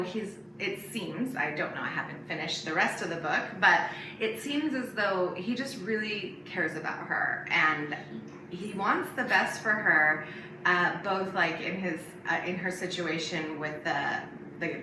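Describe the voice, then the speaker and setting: A woman's voice: fairly low pitch, lively intonation, measured pace. A woman guest on a podcast, chatting casually and informally with hesitant phrasing. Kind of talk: casual chat about a novel. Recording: echoey room, distant mic, noisy.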